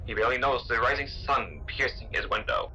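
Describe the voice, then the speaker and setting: A man's voice: medium pitch, fairly quick, sharp tone, muffled recording, slight background noise. A man narrating a sci-fi audio serial as a dramatic storyteller. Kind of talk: scripted narration.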